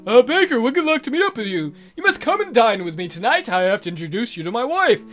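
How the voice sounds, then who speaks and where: A man's voice: extremely high pitch, big pitch swings, fast, a bit bright, soft background music, exaggerated voice. A man voicing a jovial married naval friend in a hearty, pushy way.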